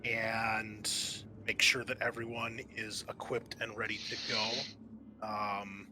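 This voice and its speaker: A man's voice: lowish voice, level tone, slow, sharp tone, slight background noise, low-quality recording. A man narrating an improvised tabletop game as game master, hesitating as he searches for words.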